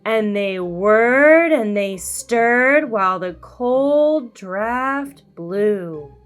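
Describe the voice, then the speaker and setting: Female children's storyteller. Lively, swooping pitch, deliberate sing-song read, background music. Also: clear, resonant tone. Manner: animated, slow and deliberate sing-song delivery. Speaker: children's read-aloud host.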